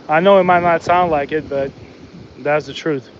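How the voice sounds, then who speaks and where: A man's voice: fairly high pitch, fairly even intonation, normal speed, highs cut off, noisy background. A man born in the Soviet Union, chatting casually on a live stream as he fishes from a beach, talking to the fish and to his viewers. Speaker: fishing livestream host. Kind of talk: casual live commentary while fishing. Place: outdoors on a beach by the water. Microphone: phone.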